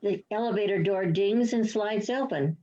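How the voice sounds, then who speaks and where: A woman's voice: mid-range voice, moderate pace, mellow. A woman reading stage directions as scripted narration, on a dull lo-fi recording. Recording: dull, muffled lo-fi sound.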